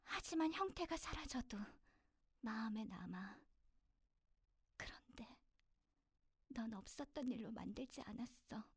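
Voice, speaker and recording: A woman voicing a wounded teenage villain girl in an anime, bittersweet and sincere, her halting, emotional delivery often breathy and dropping toward a whisper. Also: a little high, expressive, no echo, clean studio sound, full character voice. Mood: tearful, grateful. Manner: halting, emotional, swinging from intense to tender. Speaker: voice actor recording a sample. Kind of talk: emotional anime voice acting with inner narration.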